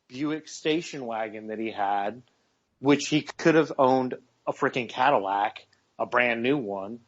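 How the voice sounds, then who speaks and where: Man in his forties, muffled radio talk. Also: mid-range voice. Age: 40s. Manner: earnest, repeats words while gathering thoughts. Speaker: radio show co-host. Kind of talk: casual talk radio chat.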